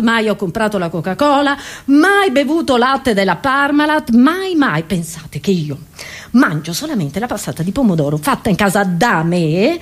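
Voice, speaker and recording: High-voiced woman doing a comedy monologue. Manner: theatrical, self-interrupting, asking rhetorical questions. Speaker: comedian performing a solo monologue. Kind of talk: satirical comedy monologue, conversational.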